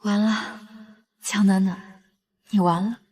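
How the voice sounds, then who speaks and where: Actress as smitten young office woman in a drama, high voice, earnest, kinda flustered, gently probing. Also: expressive, moderate pace, no room sound. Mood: tender, smitten. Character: smitten, flustered young female law-firm worker. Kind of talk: acted drama dialogue and inner monologue.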